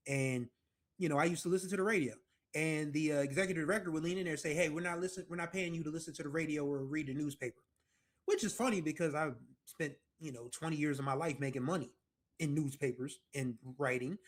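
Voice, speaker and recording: A man in his forties from the United States, hosting a music show and rambling through casual commentary with asides and self-corrections. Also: a little high. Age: 40s. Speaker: music podcast host.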